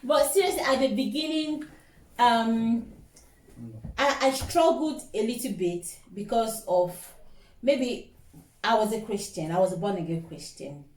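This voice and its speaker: A woman's voice: on the high side, unhurried, a little mellow. A middle-aged woman with a Nigerian accent, chatting casually and expressively, repeating words for emphasis. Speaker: co-host of a couple's relationship vlog. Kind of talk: casual storytelling chat. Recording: echoey room, distant microphone.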